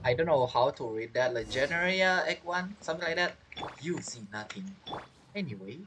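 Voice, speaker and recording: A man's voice: fairly high pitch, expressive, fairly quick, music in the background. A man giving casual playthrough commentary as a gaming streamer, chatty and musing aloud.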